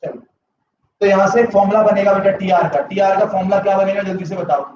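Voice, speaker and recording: Man, economics teacher, sore throat, high voice, echoey and distant dull recording. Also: steady tone, fast, darkish tone, a little hiss or hum. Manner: mixes Hindi with English terms, asks students yes-or-no questions. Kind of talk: live online class lecture.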